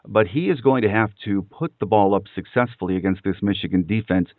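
A man hosting a sports podcast, interviewing and commenting in a measured way with pauses. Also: fairly low pitch, no echo, phone-quality sound.

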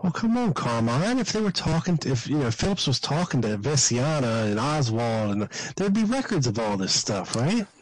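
A man's voice: medium pitch, sing-song, moderate pace, hardly any pauses, slight background noise, low-quality recording. A man co-hosting a podcast, chatting casually in a colloquial, sardonic way. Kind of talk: casual chat.

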